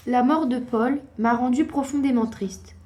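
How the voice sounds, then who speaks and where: High, soft-toned young daughter, acted by a woman. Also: measured pace, lightly acted. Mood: soft, solemn. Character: young daughter reading aloud an old wartime notebook. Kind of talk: French audio drama acting.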